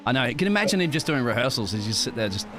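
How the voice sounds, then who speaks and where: A man's voice: mid-range voice, very animated, rapid-fire. A man leading live sim-racing commentary in a chatty, jokey way. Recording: loud music bed behind voice.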